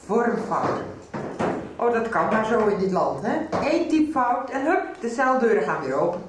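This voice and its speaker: A woman's voice: on the high side, big pitch swings, normal speed. A middle-aged woman acting a pushy, indignant mother in a radio soap. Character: pushy, indignant mother who answers for her daughter. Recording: very echoey room, faint noise.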